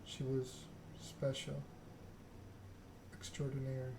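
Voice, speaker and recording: A man reading a story game aloud in an ASMR style, with hushed, measured narration and dialogue. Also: medium pitch, completely flat delivery, vocal fry, background noise.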